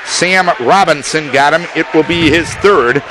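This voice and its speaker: A man calling live basketball play-by-play for radio, firing off rapid calls that rise to shouts on big plays, in a gym full of people. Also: on the high side. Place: high school gym with a crowd. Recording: loud crowd and gym noise. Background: crowd and gym noise.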